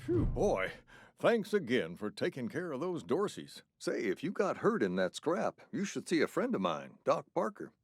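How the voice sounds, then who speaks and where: Friendly folksy game shopkeeper, higher voice, super animated, dry sound. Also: male voice, moderate pace, breathy edge, dry recording, exaggerated voice. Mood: grateful. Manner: folksy, genial. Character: friendly grateful townsman running a shop. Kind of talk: video game character acting.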